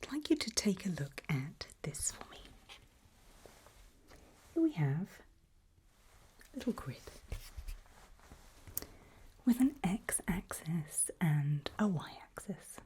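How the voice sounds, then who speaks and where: Female ASMR doctor: breathy whisper and soft low talk, slow, patient and reassuring. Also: big pitch swings, a little hiss or hum. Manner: gentle, unhurried, encouraging, patient. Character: calm, reassuring doctor running a creepy memory test. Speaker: ASMR performer playing a doctor giving a memory test.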